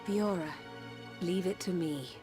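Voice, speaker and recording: Female game doctor played by an actress, calm and dutiful, lower register, prominent music underneath. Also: measured pace, close to an everyday voice. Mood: steady, reassuring. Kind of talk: video game cutscene voice acting.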